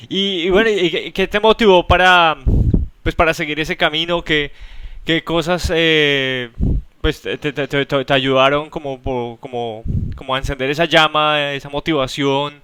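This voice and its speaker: A man hosting a casual interview, informal and a little halting as he forms his questions. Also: a little high, fairly even intonation, pushed and bright, smooth. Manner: informal, halting, repeats words while forming questions. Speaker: interview show host.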